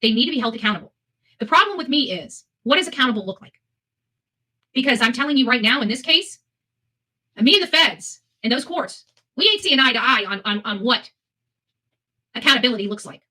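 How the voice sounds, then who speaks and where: Female live commentary host, slightly high, forceful voice, blunt and emphatic opinion delivery with repeated phrases. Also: animated, fairly quick, pauses often, low-quality recording.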